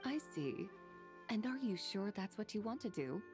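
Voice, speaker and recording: An actress playing a wise, serene immortal horse spirit in a video game, speaking in a measured, formal manner. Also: female voice, mid-range voice, very animated, music in the background, close to an everyday voice.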